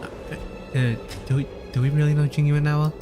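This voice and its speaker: A game streamer with a middling pitch, talking casually while playing. The delivery is low-key and hesitant. Game music is clearly audible behind the voice. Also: flat delivery, normal speed. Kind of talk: casual game livestream commentary.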